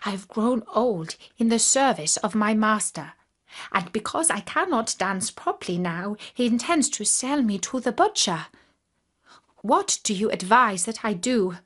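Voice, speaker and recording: A woman's voice: medium pitch, animated, measured pace, fairly bright tone. A woman voicing an anxious old male monkey in a children's fairy tale, pleading and lamenting theatrically. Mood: pleading, flattering.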